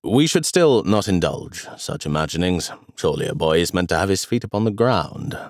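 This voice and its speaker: A man's voice: low-pitched, no room sound, studio quality. A man voicing a stern but fond old grandfather who grumbles properly at his grandchildren. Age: older.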